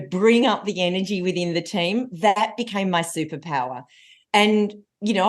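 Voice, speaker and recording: A woman in her forties with a loud voice, a coach telling stories as a guest in a casual podcast conversation. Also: medium pitch. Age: 40s. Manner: energetic, animated storytelling with laughs.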